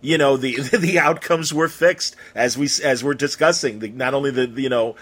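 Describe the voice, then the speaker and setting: A man's voice: medium pitch, moderate pace, a bit bright. A man hosting a casual interview, talking in an effusive, rambling way and stumbling over his phrases. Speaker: interview host.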